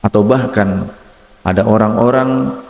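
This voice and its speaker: A male preacher with an Indonesian accent and a fairly low voice. He delivers patriotic religious oratory in deliberate, repeated rhetorical phrases. The sound is very echoey, with a long hall reverb trailing his words. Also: vocal fry, dull audio.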